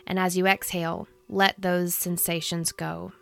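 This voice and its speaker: A woman in her thirties leading a guided meditation in a soothing, gentle, unhurried manner. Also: on the low side, little pitch movement, creaky, soft background music. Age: 30s.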